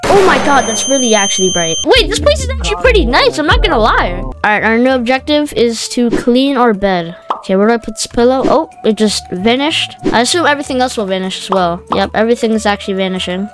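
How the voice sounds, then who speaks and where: Female game streamer, very high voice, excitable, slangy and repetitive, music underneath. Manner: excitable, exclaiming and repeating phrases. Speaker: gaming video host. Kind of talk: excited gameplay commentary with casual slang.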